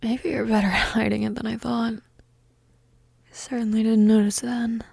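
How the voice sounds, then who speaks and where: Casting: actress as young goth female roommate for intimate audio roleplay. Mellow, slightly creaky voice, hesitant, teasing and tender, half-whispered. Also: fairly high pitch, flat delivery, normal speed, dry recording, clean studio sound, heavily acted. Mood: tentative, tender. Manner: hesitant, gentle, teasing, breathy murmuring.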